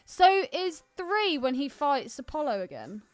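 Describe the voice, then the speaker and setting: A woman's voice: high voice, big pitch swings, measured pace, soft background music. A woman co-hosting a podcast in casual banter, cheeky and crude. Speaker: podcast co-host.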